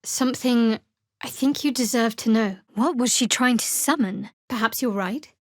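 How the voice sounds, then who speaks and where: Actress as guarded young female cleric, fantasy game. Higher register, dry sardonic delivery, studio-dry sound. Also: normal speed, no echo, natural voice. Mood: grave, measured. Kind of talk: dramatic fantasy video game dialogue.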